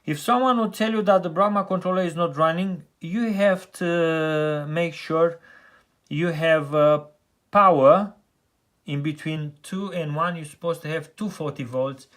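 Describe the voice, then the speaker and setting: A man with a Romanian accent, a heating engineer explaining burner controls step by step, halting often with fillers and restarts. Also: a little high, fairly bright tone. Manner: halting, many fillers and restarts, plain and practical. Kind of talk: technical how-to explanation.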